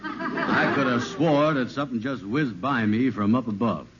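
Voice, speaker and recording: An actor playing a slow, gullible, easygoing man in an old radio sitcom, with a drawling, folksy manner. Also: medium pitch, sing-song, lightly acted. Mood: easygoing, puzzled. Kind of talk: 1940s-50s radio comedy acting. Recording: muffled old radio recording, loud hiss.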